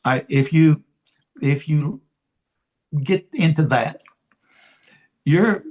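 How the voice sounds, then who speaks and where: A man in his seventies preaching an informal sermon as a pastor, deliberate and emphatic, pausing and repeating his phrases. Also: on the high side, darkish tone. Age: 70s.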